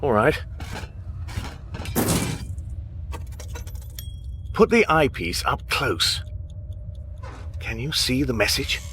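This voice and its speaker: A man's voice: mid-range voice, expressive, measured pace, noisy background, natural voice. An actor playing a well-meaning, bemused Englishman in a radio comedy drama, with an English accent and a hesitant, coaxing manner. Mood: helpful.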